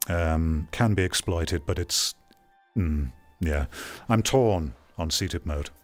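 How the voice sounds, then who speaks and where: A man giving rambling, opinionated livestream commentary as he reads and answers chat. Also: lowish voice. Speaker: streamer.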